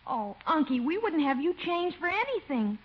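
Affectionate teenage niece, very high sweet voice, radio comedy, muffled vintage recording. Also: female voice, very animated, normal speed. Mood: fond, concerned. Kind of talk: 1950s radio sitcom acting. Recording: dull, noisy old radio recording.

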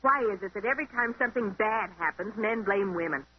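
A woman's voice: medium pitch, fast, close to an everyday voice. An actress playing a feisty young woman in a 1930s radio mystery, pert and indignant, on an old broadcast recording. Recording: dull, faintly noisy old radio recording.

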